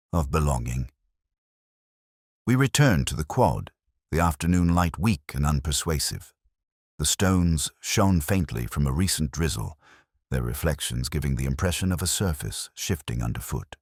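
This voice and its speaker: A man narrating as a brooding, world-weary police inspector recounting a case in measured, solemn, literary first person. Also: extremely low pitch, slightly rough, dry recording, clean studio sound, full character voice. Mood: watchful, grave.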